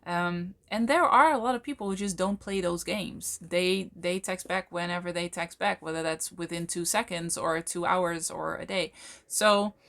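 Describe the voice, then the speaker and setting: A Dutch woman, a native Dutch speaker talking in English, explaining things to camera in a thoughtful way, with many fillers and slow, stretched words for emphasis. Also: lowish voice, creaky, fairly bright tone. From Netherlands. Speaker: channel host, coach. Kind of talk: casual explanatory talk to camera.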